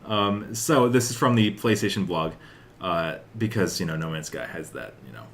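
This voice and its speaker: Low-voiced young man, rambling podcast chat. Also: lively intonation, normal speed, fairly bright tone, a little hiss or hum. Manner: rambling, peppered with "like" and "uh". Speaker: podcast host. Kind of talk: casual chat about video game news.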